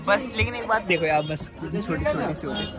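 Playful young man vlogging over loud bus noise. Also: sits high, fast talker. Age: young adult. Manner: playful, repeats phrases. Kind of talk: casual vlog chat, teasing relatives. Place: inside a crowded bus at night. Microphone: handheld phone. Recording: noisy moving bus with music and crowd. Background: music, passengers, vehicle noise.

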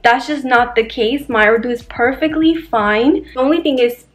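A woman's voice: sits high, moderate pace. Chatty young woman vlogging in an echoey bedroom. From New York. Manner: chatty and candid, many fillers. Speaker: lifestyle vlogger. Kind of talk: casual vlog chat to camera. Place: bedroom indoors.

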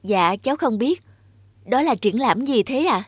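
Earnest, high-voiced young woman. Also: lively intonation, unhurried, noisy background. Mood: polite. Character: earnest, envious young woman running a noodle shop. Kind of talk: animated drama voice acting. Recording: loud music under the voice.

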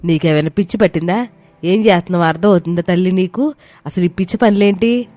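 A woman's voice: lowish voice, fast, dark tone, no echo, natural voice. Woman acting irritable, scolding elderly mother-in-law. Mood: angry, exasperated. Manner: nagging, sarcastic, complaining. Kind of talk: dramatized moral story voice acting. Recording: music behind the voice.

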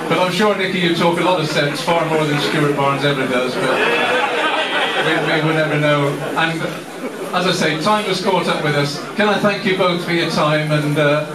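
A man's voice: a little high, moderate pace, very noisy. Man hosting a Q&A in a busy bar. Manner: joking, chatty. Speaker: interviewer. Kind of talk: live Q&A hosting. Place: bar with a crowd, indoors. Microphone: handheld mic, far camera. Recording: roomy bar, distant camera, loud crowd.

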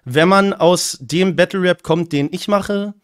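German-native male streamer, fairly high voice, dry close sound, opinionated and quick in bursts. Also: no echo. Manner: opinionated, talks in fast bursts. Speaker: streamer reacting to a rap battle. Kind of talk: casual commentary.

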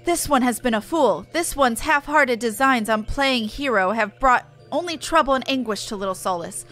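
A woman's voice: fairly high pitch, expressive, moderate pace, fairly bright tone, faint music behind. A woman voicing a small, bumbling male forest creature from a fantasy game, begging whinily in odd third-person speech. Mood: pleading.

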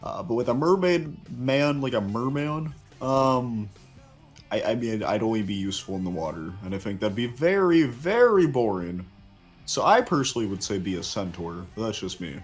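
A man's voice: mid-range voice, very animated, moderate pace, faint music behind, natural voice. A man voicing a level-headed cartoon ninja chameleon, dry and placating, hesitating as he banters off the cuff. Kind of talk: improvised in-character banter.

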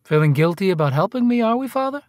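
An actor playing a self-righteous detective in a radio play, questioning in a clipped, insinuating way. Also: male voice, sits high, very animated, fast, darkish tone, a little hiss or hum. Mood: needling, accusing. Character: probing, self-righteous male detective, needling a priest. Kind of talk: radio drama acting.

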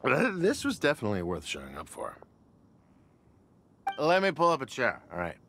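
A man's voice: medium pitch, huge pitch swings, moderate pace, background noise. A man playing a pushy, overfriendly drunk in a video game, rambling familiarly with slurred exclamations. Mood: tipsy, overfriendly. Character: tipsy, overfriendly, pushy man pestering young women.

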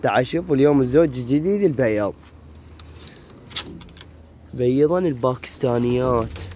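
Hoarse Iraqi man vlogging outdoors. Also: mid-range voice, normal speed, warm tone, slight background noise. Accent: Iraqi Arabic. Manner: chatty, talks to the viewers while filming. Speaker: pigeon keeper vlogger. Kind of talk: casual vlog. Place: rooftop pigeon loft outdoors. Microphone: phone camera held while walking.

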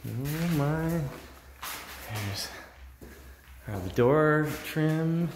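A man's voice: on the high side, animated, extremely slow. An American man around his forties giving a casual, enthusiastic video tour in an informal, admiring way, holding a phone at arm's length. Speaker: vlogger giving a workshop tour. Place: mostly inside an empty unfinished workshop building. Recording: echoey empty room, clear background noise.

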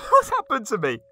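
A man's voice: very high voice, heavily acted. A man playing an anxious, easily rattled young exchange student in a radio drama, exclamatory and agitated. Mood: desperate. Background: music.

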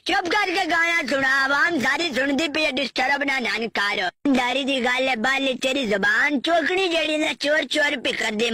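High punjabi-accented voice, slow bantering comic singing, super dry close sound. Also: female voice, pushed and bright, dry recording. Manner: bantering, mock-singing, scolding. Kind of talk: comedic political parody with singing.

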